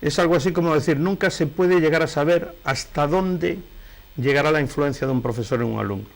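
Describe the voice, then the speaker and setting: A man's voice: on the high side, fairly quick, vocal fry. A middle-aged man from Galicia with a Spanish accent, talking as an interview guest in a digressive, anecdotal way and often correcting himself. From Galicia, Spain. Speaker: interview guest, painter and novelist. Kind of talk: conversational interview, anecdotal storytelling.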